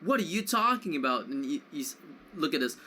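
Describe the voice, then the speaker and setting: A man talks casually through a game as he plays. His voice is bright and sits a little high, with faint noise in the background. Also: sing-song, brisk. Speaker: speedrunner commentating own play. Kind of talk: casual gameplay commentary.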